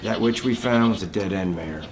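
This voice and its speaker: A man's voice: on the low side, normal speed, music in the background, natural voice. An actor playing a witch hunter in a film, speaking in a subdued, matter-of-fact way. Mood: apologetic. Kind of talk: film dialogue.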